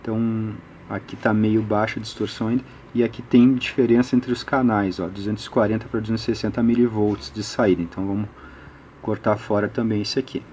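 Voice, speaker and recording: Brazilian man, mellow relaxed tone, unscripted technical tutorial, methodical, frequent tag questions, light background noise. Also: lowish voice, fairly quick. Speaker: electronics hobbyist presenter.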